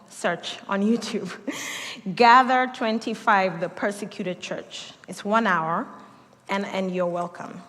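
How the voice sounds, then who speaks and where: A woman pastor from Kenya with a Kenyan accent, preaching a sermon with animated storytelling, acted quotes and laughter. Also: mid-range voice. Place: church sanctuary. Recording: long church hall reverb.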